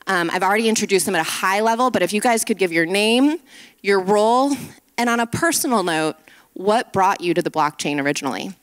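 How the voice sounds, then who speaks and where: A woman's voice: medium pitch, animated, brisk, clean tone. A woman moderating a conference panel on stage, speaking fluently and upbeat as she frames the discussion. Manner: fluent, upbeat, framing remarks.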